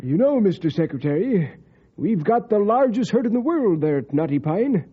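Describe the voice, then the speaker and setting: Senator: high, swooping husky voice, proud booster, vintage radio drama. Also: male voice, big pitch swings, normal speed, exaggerated voice. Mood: proud, enthusiastic. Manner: enthusiastic, formal. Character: boosterish, talkative male senator. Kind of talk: old-time radio drama acting. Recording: dull old radio recording.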